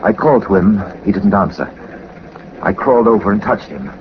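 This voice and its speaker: An actor playing a composed gentleman detective in a 1940s radio drama, speaking formally and courteously in measured phrases. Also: on the low side, lively intonation, close to an everyday voice. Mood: grave narration. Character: composed, methodical gentleman sleuth, a former captain. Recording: muffled, noisy 1940s radio recording.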